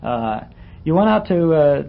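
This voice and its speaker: An American man hosting a casual, nostalgic interview, with halting, hesitant phrasing. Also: a little high, big pitch swings, gravelly. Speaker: radio interviewer and host. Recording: muffled, noisy archival radio interview.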